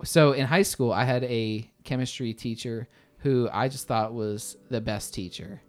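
A man in his thirties answering interview questions conversationally, often halting and restarting his words. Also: medium pitch. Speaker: interview guest, attorney.

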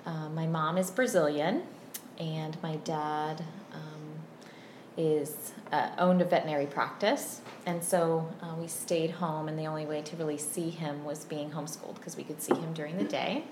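A woman in her thirties from Virginia, chatting as a podcast guest in a friendly, unhurried way with many ums. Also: low-pitched, fairly even intonation. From Virginia, USA. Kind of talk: casual interview chat. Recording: roomy echo, faint background hum.

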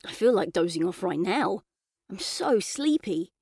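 A woman reading a children's audiobook as a chatty, earnest young boy telling his own story, expressive and colloquial. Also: mid-range voice, a little slow, creaky, breathy edge, no room sound, clean studio sound, exaggerated voice. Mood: puzzled, sleepy. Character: boy narrator in a coma, chatty, frustrated, yearning. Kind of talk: first-person children's audiobook narration.

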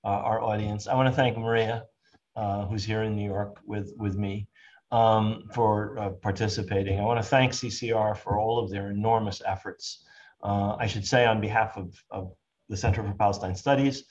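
An older man moderating a webinar discussion, speaking in a measured way with frequent ums. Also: on the low side, fairly even intonation, darkish tone, muffled recording, slight background noise.

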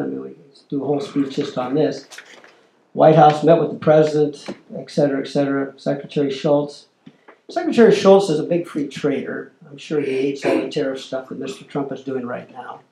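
A man's voice: a little high, steady tone, brisk, creaky, dark tone, roomy sound, poor audio. An older man giving a storytelling lecture, acting out the quoted exchanges as he talks. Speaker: lecturer, former corporate lobbyist. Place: lecture hall.

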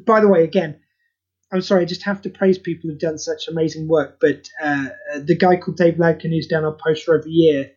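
A man's voice: a little high, fairly even intonation, a little fast, darkish tone, husky, highs cut off. A man hosting a podcast, chatting casually and self-deprecatingly, rambling with many restarts. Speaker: podcast host and audio play creator.

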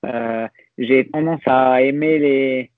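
A man's voice: medium pitch, fairly even intonation, slow pace, no room sound. A young adult man, a podcast guest, talking in a reflective, hesitant way with many restarts. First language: French. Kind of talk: casual conversational interview. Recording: dry, phone-like narrow sound.

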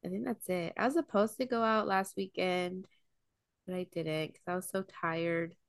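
A woman's voice: on the low side, steady tone, normal speed, creaky, dry recording, clean studio sound. A young woman co-hosting a casual podcast, telling stories between friends, full of "like" and "um," with a cold coming on. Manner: chatty storyteller, lots of "like" and "um". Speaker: podcast co-host.

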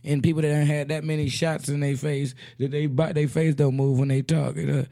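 A man with a raspy voice hosting a casual comedic radio show, joking and laughing often in slangy banter. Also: a little high, level tone, a little fast, warm tone.

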